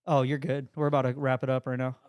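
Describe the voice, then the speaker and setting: A man's voice: medium pitch, little pitch movement, quick pace, darkish tone, smooth, no echo. A man co-hosting a podcast in casual, joking, slangy chat, often saying dude and bro. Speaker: podcast co-host.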